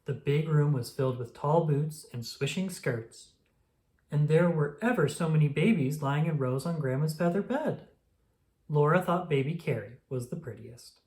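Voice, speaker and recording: Gentle storybook reader. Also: medium pitch, normal speed. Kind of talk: reading a children's picture book aloud.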